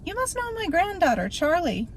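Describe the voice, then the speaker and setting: A woman voicing a kindly grandmother who gently fusses over a cold child, in a read-aloud story. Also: very high voice, sing-song, normal speed, slight background noise, voice pushed up. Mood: caring. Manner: gentle, solicitous. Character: kindly grandmother, warm and fussing.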